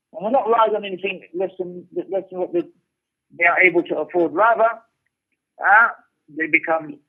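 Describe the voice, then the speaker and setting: High-pitched man lecturing, thin radio-like sound. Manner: emphatic, repeats phrases and poses rhetorical questions. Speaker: religious teacher giving a class. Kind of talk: religious lecture, explaining a text aloud. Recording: muffled narrow-band radio-like sound.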